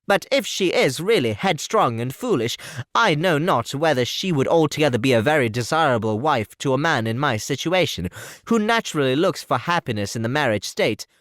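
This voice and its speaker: A man voicing a pompous, awkward young clergyman who speaks slowly and ponderously. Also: on the high side, lively intonation, a bit bright, no echo, clean studio sound, pitched above the performer's own voice. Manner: slow and ponderously formal.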